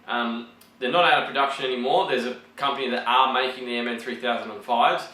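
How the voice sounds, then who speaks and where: A man talking through a build report in a casual, rambling way, full of ums and restarts, his voice croaky from having partly lost it. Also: mid-range voice, fairly even intonation, fast, pushed and bright, roomy sound, a little hiss or hum, rough recording.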